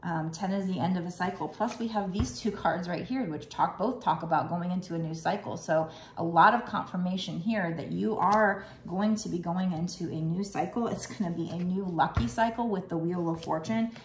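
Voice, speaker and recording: A woman's voice: on the low side, moderate pace, hardly any pauses. Woman, tarot reader, rambling, slightly froggy, roomy echo, noisy background. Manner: rambling, reassuring, many fillers. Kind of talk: casual tarot reading.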